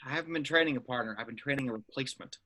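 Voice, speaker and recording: Brief: man as seasoned secret agent, weary and deadpan, few words, crisp forward tone, home script read. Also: mid-range voice, racing. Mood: wistful, resigned. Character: terse, weary veteran male secret agent. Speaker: amateur actor in a table read.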